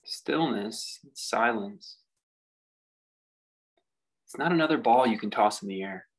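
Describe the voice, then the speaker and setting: Male meditation guide, gravelly and husky, contemplative delivery with lots of space between phrases. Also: medium pitch, expressive. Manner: unhurried, reflective delivery with long pauses. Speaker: meditation teacher.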